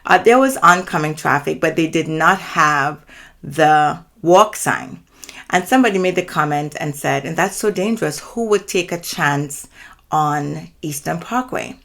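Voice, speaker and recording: Low-voiced Trinidadian woman telling a story. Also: vocal fry, a bit bright. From Trinidad and Tobago. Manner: measured, earnest, explanatory. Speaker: channel host. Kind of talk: personal storytelling to camera.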